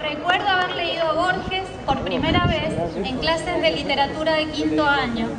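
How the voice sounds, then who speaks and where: A woman in her early forties with an Argentine accent, reading a measured, reflective commemorative speech at a podium microphone in a school courtyard. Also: sits high, heavy background noise. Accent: Argentine Spanish. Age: early 40s. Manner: measured, reflective, read from notes. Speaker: alumni speaker. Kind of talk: formal commemorative speech read aloud. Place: outdoor school courtyard ceremony. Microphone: podium microphone filmed from a distant camera in the crowd. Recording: far-off echoey outdoor PA with crowd.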